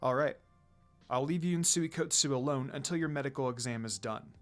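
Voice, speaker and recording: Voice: medium pitch, brisk, soft background music, pitched below the performer's own voice, lightly acted. A storyteller voicing a stern, protective demon husband with a brooding, menacing manner in a fan fiction reading. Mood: resigned.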